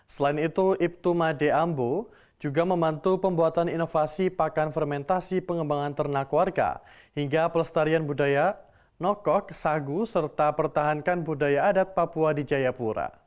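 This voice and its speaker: A young man presenting a television program in a formal, steady announcer style. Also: fairly high pitch, moderate pace. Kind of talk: scripted broadcast presenting.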